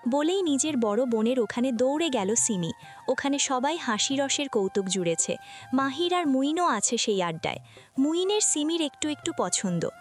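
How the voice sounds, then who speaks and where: A woman's voice: high voice, big pitch swings, moderate pace, faint music behind. A woman reading scripted narration for a romantic family audio story.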